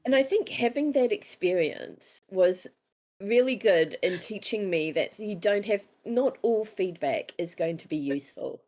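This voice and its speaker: A woman's voice: medium pitch, lively intonation, unhurried, darkish tone. A woman with a New Zealand accent talking casually as an interviewed author, candid and self-deprecating, acting out bits of dialogue as she tells stories.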